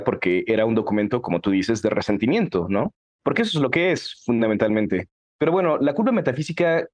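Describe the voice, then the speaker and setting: A man's voice: mid-range voice, darkish tone, dry recording. A Mexican man in his thirties with a Mexican accent, speaking candidly and animatedly as an interview guest, often ending points with a questioning tag. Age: 30s. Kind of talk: reflective conversational interview.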